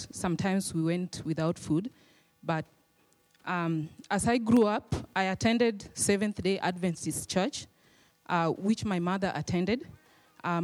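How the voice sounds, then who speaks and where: A young woman from Kenya with a Kenyan accent, telling her life story in church in a modest, hesitant way with soft fillers. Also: fairly low pitch. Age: young adult. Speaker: guest speaker. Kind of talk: personal testimony. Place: church hall.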